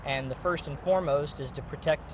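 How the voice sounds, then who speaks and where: A man's voice: on the high side, brisk. A man giving an earnest interview as a local resident, arguing his case. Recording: muffled, noisy outdoor street audio.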